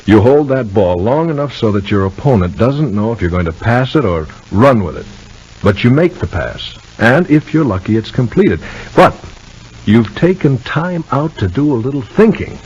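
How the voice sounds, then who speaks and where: A man acting a caring, protective brother in a 1950s radio soap opera, gently persuading in a halting, folksy way. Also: mid-range voice, very animated, slightly rough. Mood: earnest advising. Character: caring, protective brother giving homespun sporty advice. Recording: muffled 1950 radio drama recording.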